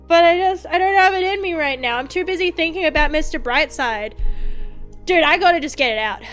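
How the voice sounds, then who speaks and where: A woman's voice: very high-pitched, brisk, fairly bright tone. A young Australian woman streaming, chatting casually to her viewers in a rambling way, swearing often and laughing easily. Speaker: vtuber streamer. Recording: faint music behind.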